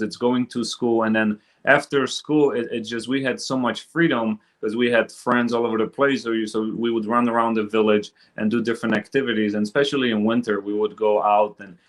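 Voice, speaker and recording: A middle-aged man from Poland, casually recollecting as an interview guest. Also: medium pitch. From Poland, later Chicago from age twelve. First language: Polish. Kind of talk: casual personal recollection in conversation.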